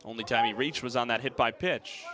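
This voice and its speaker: A young American man calling baseball play-by-play in a measured, articulate way. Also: mid-range voice, sharp tone. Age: young adult. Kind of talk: sports play-by-play commentary.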